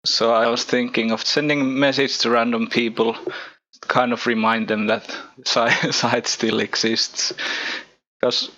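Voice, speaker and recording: A man's voice: mid-range voice, level tone, measured pace, bright, forceful tone, highs cut off. A man hosting a podcast, chatting casually in a laid-back way and laughing between his words. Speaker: podcast host. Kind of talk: casual chat.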